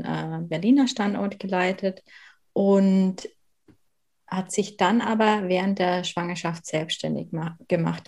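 A woman from southern Bavaria with a light Bavarian accent, speaking in a fairly low voice. She talks earnestly and thoughtfully, pausing often with fillers as she gathers her words. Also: a little mellow. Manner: frequent hesitations, thoughtful and earnest. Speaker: guest, working mother. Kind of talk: conversational interview.

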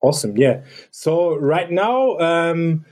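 Man hosting a podcast, fairly high voice, hesitant, repeats words. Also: lively intonation, slow, a little mellow. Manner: hesitant, repeats words while forming questions. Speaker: podcast host. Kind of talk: interview hosting.

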